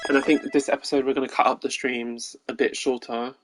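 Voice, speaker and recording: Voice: mid-range voice, little pitch movement, brisk, music in the background. A game streamer chatting casually to viewers with a tired, strained voice. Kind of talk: casual livestream sign-off.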